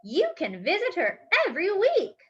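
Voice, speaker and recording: A woman voicing a brisk, caring grandmother in a children's storybook. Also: very high-pitched, wildly swooping pitch, voice pushed up, heavily acted. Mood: kindly. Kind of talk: storybook character voice. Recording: dull lo-fi recording.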